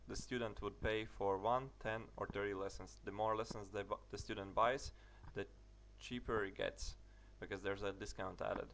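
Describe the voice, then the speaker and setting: A young British man narrating a website walkthrough, halting with many fillers and restarts. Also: on the low side, a bit bright, a little hiss or hum. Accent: British English. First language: English. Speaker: tutorial narrator. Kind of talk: screen-recorded tutorial walkthrough.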